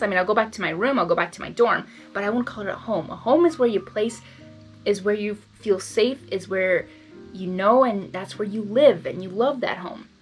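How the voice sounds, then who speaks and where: Young woman from Hungary, casual reader-host, excitable, rambling commentary, mid-range voice, music underneath. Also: medium pitch. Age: young adult. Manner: excitable, rambling, interrupts herself with reactions. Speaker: fan fiction reader and video host. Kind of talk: casual blind reading with personal commentary.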